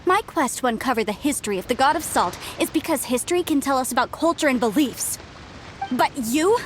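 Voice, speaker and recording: A woman's voice: high-pitched, lively intonation, a little fast, a little hiss or hum. A woman voicing an earnest female historian who speaks in a sharply reproachful way. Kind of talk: game voice acting. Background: game music.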